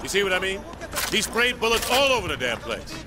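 An actor playing a brash, no-nonsense American army sergeant, giving blunt, commanding instructions to a group in a military game. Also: male voice, sits high, sing-song, a little fast, very noisy. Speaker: drill instructor. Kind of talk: military game tutorial dialogue.

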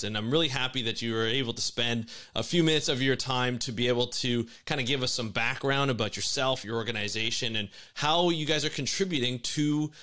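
A man in his thirties hosting an online interview, upbeat and loose, with many restarts, speaking into a boom-arm mic in a home office. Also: bright, forceful tone. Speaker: host. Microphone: headphones and boom-arm studio mic.

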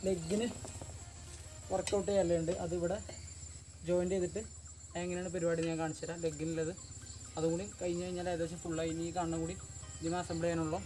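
A young man talks quickly and casually, walking viewers through a gym bench build one step at a time. He is recorded outdoors in a leafy yard by a camera set at a distance. Music plays clearly beneath his voice. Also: high voice, darkish tone. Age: young adult. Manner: chatty, explains step by step while assembling. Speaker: fitness vlogger. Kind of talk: casual how-to commentary. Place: outdoor garden yard among banana trees. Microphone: camera on tripod at a distance.

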